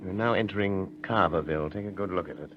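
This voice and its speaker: A man plays a polished, composed gentleman sleuth in old radio drama. His voice is lowish and measured, on a dull recording with faint hiss. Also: natural voice. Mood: grave, resolute. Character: composed, urbane gentleman amateur detective, male. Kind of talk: 1930s radio drama acting.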